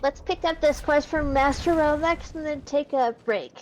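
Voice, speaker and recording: A woman with a somewhat high voice co-hosts a game session. She talks casually but directly, giving practical directions over faint noise. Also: moderate pace, darkish tone, low-quality recording. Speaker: gaming show co-host. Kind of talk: casual gameplay chat.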